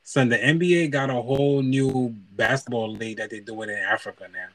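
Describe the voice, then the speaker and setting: A man co-hosting a casual chat show, telling lively anecdotes and acting out the other people's lines. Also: mid-range voice, fairly quick, no room sound, low-quality recording. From Haiti (parents), raised in the US. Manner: animated storyteller, acts out voices in anecdotes. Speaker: podcast co-host.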